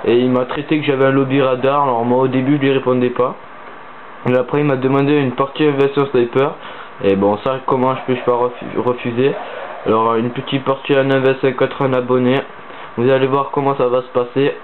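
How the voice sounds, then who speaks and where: A teenage boy with a head cold talks in a stuffy, sniffling voice, rambling through a casual gaming commentary full of hesitations. His mid-pitched voice comes through a thin, phone-like mic. A faint hiss sits under it. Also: little pitch movement, brisk. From southern France. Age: teens. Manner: rambling, hesitant with many fillers. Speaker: gaming channel host.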